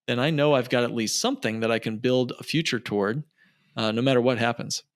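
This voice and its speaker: A man's voice: mid-range voice, fairly quick, no room sound, studio quality. A man speaking as a financial planner guest on an interview podcast, explaining conversationally and emphatically, with repetition for effect.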